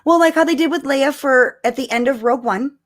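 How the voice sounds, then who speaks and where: A woman's voice: high-pitched, a little fast. A woman co-hosting a live podcast, chatting casually and emphatically like an eager fan. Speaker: podcast co-host.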